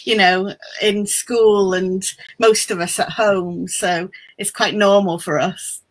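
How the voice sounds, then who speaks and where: A woman's voice: mid-range voice, fairly even intonation, slow pace. Middle-aged Welsh woman, native Welsh speaker, easygoing interview guest on a video call. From Wales. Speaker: guest, book mentor. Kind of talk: casual interview chat.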